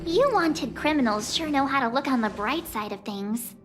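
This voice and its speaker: An actress voicing a brash, perky and skeptical young girl crew member in a video game, animated and sarcastic. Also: high-pitched, normal speed. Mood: skeptical, indignant. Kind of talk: English video game voice acting. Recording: music under the voice.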